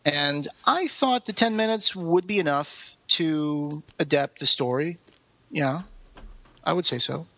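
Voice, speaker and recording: A man's voice: fairly high pitch, a little slow. A man co-hosting a podcast, explaining things in detail in a casual discussion. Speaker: podcast co-host. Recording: roomy echo, dull muffled sound.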